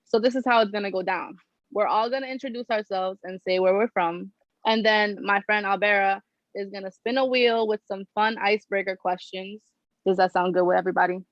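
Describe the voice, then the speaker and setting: A woman's voice: mid-range voice, steady tone, brisk, no echo, muffled recording. Slangy, upbeat young woman host. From New Jersey. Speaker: podcast host. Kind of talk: casual chat.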